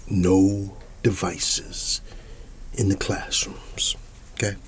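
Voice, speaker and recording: A man doing a soft-spoken ASMR roleplay as an easygoing, quirky schoolteacher, often dropping into a whisper and repeating short, folksy, reassuring phrases. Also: low voice, little pitch movement, drawn-out words. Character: quirky, easygoing male schoolteacher addressing his class. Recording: clearly audible background noise.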